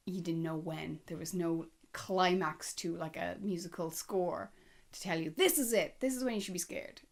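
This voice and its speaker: A woman giving a casual, chatty film review, speaking conversationally with emphatic asides and rhetorical questions. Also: lowish voice. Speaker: movie review vlogger.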